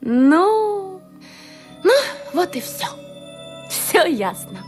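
Radio play: naive, coquettish golden woman, high and swooping, flaring from tender to indignant. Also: sing-song, very slow, full character voice. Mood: hurt, flustered. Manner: coquettish, exclamatory, swings from coaxing to indignation. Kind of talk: theatrical radio play acting. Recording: studio radio play with music.

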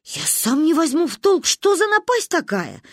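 A woman's voice: high voice, wildly swooping pitch, normal speed, dry recording, clean studio sound, pitched above the performer's own voice, full character voice. A woman voicing a hapless, well-meaning uncle in an audiobook, protesting and apologetic. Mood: guilty, baffled. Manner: protesting, conciliatory.

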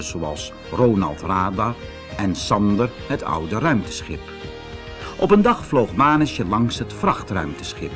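A man narrating a scripted children's story as an audiobook reader. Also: fairly low pitch.

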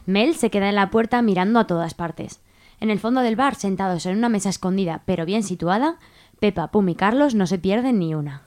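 A woman's voice: mid-range voice, animated, a little fast, darkish tone. Woman narrating a radio soap.

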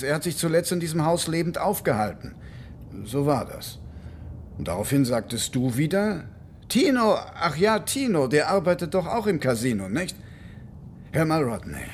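An actor playing a seasoned interrogating cop in a German radio crime drama, cunning and exasperated, lecturing deliberately and with condescension. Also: male voice, a little high, a little hiss or hum, natural voice.

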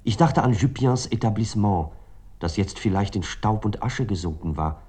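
An actor playing a reflective writer recounting a night of war in a literary radio drama, articulate and brooding. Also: male voice, fairly low pitch, animated, moderate pace, mellow, slightly rough. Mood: haunted, brooding.

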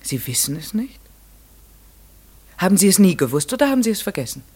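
A courteous, inquisitive radio-play protagonist. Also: male voice, high voice, very animated, fairly quick, a little mellow, breathy edge, heavily acted. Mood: curious, probing. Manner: polite, careful, inquisitive, articulate. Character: curious, courteous, methodical man questioning a mysterious raven. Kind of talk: classic German radio play, narration and dialogue.

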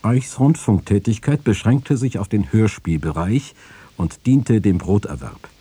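Low, dark-voiced male quotation reader. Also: animated, unhurried, breathy edge, dry recording. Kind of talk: formal reading of written quotations for radio.

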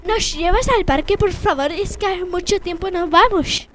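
A young girl improvising in an amateur radio play, playful, pleading and excitable, on a very noisy recording. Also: extremely high pitch, normal speed. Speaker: drama student.

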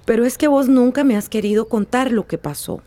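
An actress playing a concerned, coaxing young sister in a radio drama, with a Central American accent. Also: female voice, on the high side, expressive, fairly quick, dark tone. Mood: concerned, urging.

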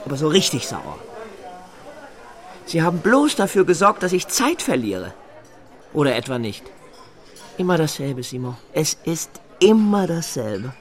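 An actor playing an insistent, menacing snoop with a grudge, in a naturalistic radio drama, formally polite and full of pointed questions. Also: male voice, a little high, sing-song, measured pace, pauses often. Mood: bitter, threatening. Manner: formal address, pointed rhetorical questions, needling. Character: insistent, menacing snooping man with a grudge against big shots.

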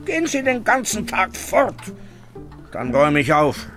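A man's voice: high voice, wildly swooping pitch, a little slow, music in the background, full character voice. A male actor playing a grumbling, stubborn old servant who talks back defensively in a radio play. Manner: querulous, defensive, swinging into indignant whines.

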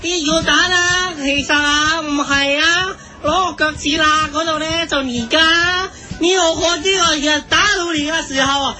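Woman doing a high sharp voice, rambly food show guest, halting n exclaiming, radio comedy. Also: steady tone. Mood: rambling excitement. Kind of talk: comic radio drama.

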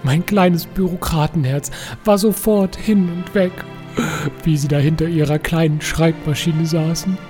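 A man's voice: fairly high pitch, steady tone, measured pace, a little mellow, faint music behind, lightly acted. A man playing a fussy, lovesick, scheming bureaucrat in a comic audio drama, officious and melodramatically pleading. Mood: lovesick confessing.

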